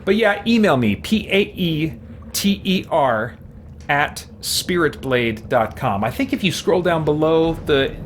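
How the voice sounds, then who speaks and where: A man's voice: fairly high pitch, expressive, unhurried, slight background noise. A middle-aged man hosting a game live stream, chatting casually and thinking aloud in an easygoing, rambling way.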